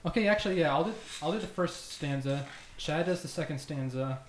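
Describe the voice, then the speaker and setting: A man's voice: on the high side, fairly quick. A man hosting a casual podcast, jokingly reading fan fiction aloud. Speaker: podcast host. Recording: echoey room with noticeable background noise.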